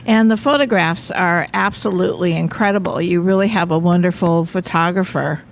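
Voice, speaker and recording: A woman's voice: fairly low pitch, moderate pace, gravelly. A woman co-hosting a local radio interview, adding brief praise and questions. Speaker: radio co-host.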